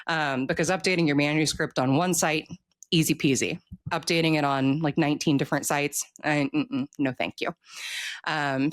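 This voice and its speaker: Casual, opinionated author in interview. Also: mid-range voice.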